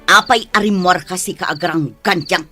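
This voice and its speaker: A man's voice: sits high, moderate pace, over music, natural voice. A man with an Ilocano accent acting a stern, nagging village mother in a comedy drama. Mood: scolding.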